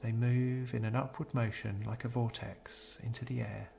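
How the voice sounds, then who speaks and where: A man guides a meditation in a gentle, measured delivery. His mid-pitched voice has a noticeable fry to it, and he leaves soothing pauses between scripted phrases. Noise is clearly audible behind him. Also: little pitch movement.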